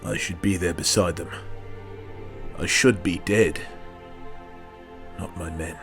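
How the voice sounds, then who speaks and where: A man playing a grieving, guilt-ridden soldier in an audio drama, halting and subdued in his anguish. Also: deep voice, steady tone, vocal fry, fairly bright tone, faint music behind, heavily acted.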